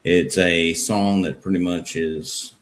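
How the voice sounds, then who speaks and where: A man's voice: sits low, steady tone, slow pace. A man taking part in a song contest, explaining his entry in an easygoing way with some fillers. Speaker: contest guest songwriter. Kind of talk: casual explanation.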